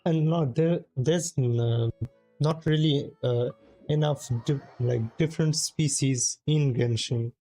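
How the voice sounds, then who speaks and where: A man's voice: on the high side, takes it slowly, mellow, dry recording, rough recording. A man giving casual, musing commentary on a video game live stream in a laid-back way. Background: faint game music.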